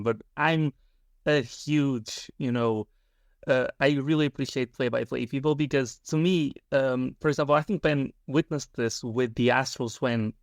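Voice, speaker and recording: Enthusiastic man from Europe, halting. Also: medium pitch, dry recording, studio quality. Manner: enthusiastic, halting, repeats words. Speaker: podcast co-host. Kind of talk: casual podcast chat.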